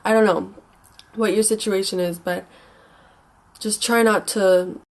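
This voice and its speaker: A woman's voice: medium pitch, steady tone, a little slow. Stuffy-nosed young American woman chatting casually. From United States. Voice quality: stuffy, congested nose. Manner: informal, rambling, hesitant. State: mildly sick with a stuffy nose. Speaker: advice vlogger. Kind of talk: casual advice chat.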